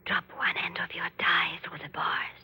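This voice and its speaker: An actress playing a cool, mysterious, wealthy femme fatale with poised, terse delivery in a 1940s radio thriller. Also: female voice, fairly low pitch, little pitch movement, moderate pace, creaky, a little hiss or hum, full character voice. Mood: secretive, urgent. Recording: old radio recording with loud music.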